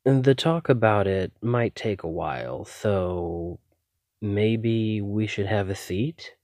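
Male vampire, played by a man, ASMR roleplay: lowish voice, theatrical and polite with obsessive interest, very dry close sound. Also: lively intonation, darkish tone, no room sound. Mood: reassuring, eager. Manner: polite, coy, measured, theatrical. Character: obsessive, courteous male vampire posing as lab technician. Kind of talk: ASMR roleplay addressed to the listener.

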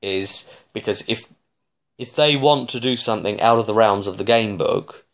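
Low-pitched man, halting and rambling. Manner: halting, digressive, often restarting mid-sentence. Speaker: tabletop game master recapping sessions. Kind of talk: casual, rambling vlog recap. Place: small living room, indoors.

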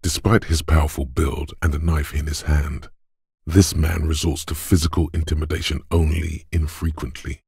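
An actor voicing a gruff, domineering inner voice of brute strength in a video game, husky and rumbling, with scornful, deliberate drill-sergeant delivery. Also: male voice, darkish tone, no room sound, studio quality, heavily acted. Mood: grave, measured. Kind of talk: video game character narration.

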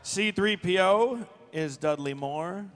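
A man's voice: high-pitched, takes it slowly, fairly bright tone. A man moderating a live panel, announcing the cast of a table read. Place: convention panel stage.

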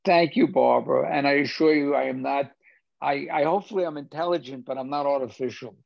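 A man's voice: mid-range voice, brisk, creaky, no echo. An older man moderating a film discussion over a video call, hesitant with many fillers and restarts. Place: home theater room. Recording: dull dry video-call audio.

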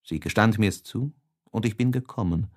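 A man narrating a literary audiobook in an expressive, measured, dramatic way. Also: fairly low pitch.

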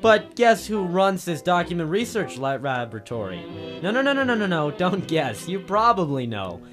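A man's voice: sits high, big pitch swings, moderate pace, over music. An actor playing a brash, wisecracking detective's legman and narrator, slangy and wry, in old radio drama style. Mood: teasing. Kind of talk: 1950s radio drama acting.

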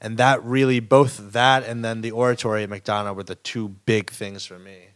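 A man's voice: on the low side, little pitch movement, moderate pace, a bit bright. A young man telling a story on a podcast, casual and chatty, with lots of filler words. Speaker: kombucha company co-founder.

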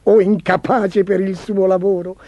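A man voicing a vain, imperious, excitable emperor in a children's storybook, with a pompous, demanding manner. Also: high-pitched, unhurried, no room sound, dull audio, pitched above the performer's own voice. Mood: scheming, commanding. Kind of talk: theatrical children's storybook acting.

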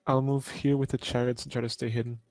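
A man's voice: medium pitch, level tone, fast talker, darkish tone, no room sound. A man chatting casually as a co-op gaming partner, giving matter-of-fact tactical advice. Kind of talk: casual tactical gameplay chat.

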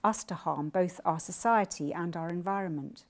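A woman in her sixties giving a formal lecture and then answering questions in a measured, earnest way, in a wood-panelled college lecture room on a lectern mic. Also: fairly low pitch. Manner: measured, earnest, thoughtful pauses. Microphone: lectern microphone.

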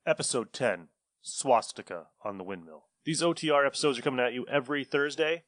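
A man's voice: medium pitch, a little fast, a bit bright, dry recording, studio quality. A man introducing episodes as a podcast host in a plain, matter-of-fact way.

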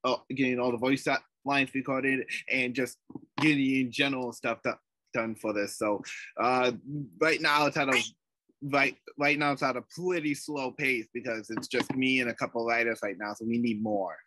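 A man's voice: mid-range voice, steady tone, normal speed, sharp tone, slight background noise, rough recording. A man casually explaining over a video call, stumbling over restarts as he goes. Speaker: let's play project co-organizer.

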